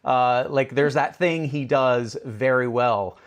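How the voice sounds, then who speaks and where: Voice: medium pitch, slow pace, clear, resonant tone. A host with a conversational presenting style, introducing topics and putting questions to guests. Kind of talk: conversational show hosting, introducing and asking questions.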